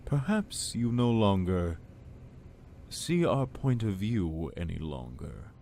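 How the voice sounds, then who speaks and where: A manipulative cult lord played by a man speaks slowly and deliberately in a fairly low voice that rises and falls dramatically. He is falsely tender and condescending, a formal radio-play tyrant, over a faintly noisy recording. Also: very animated, gravelly, warm tone, full character voice. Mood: menacing. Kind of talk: dramatic audio drama acting.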